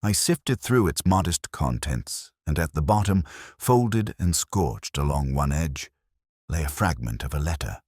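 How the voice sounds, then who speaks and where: Man as a brooding older detective, very low voice, solemn measured mystery narration, dry close sound. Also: animated, darkish tone, husky, no echo, heavily acted. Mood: brooding, reflective. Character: brooding, introspective older male police detective. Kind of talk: scripted first-person mystery narration.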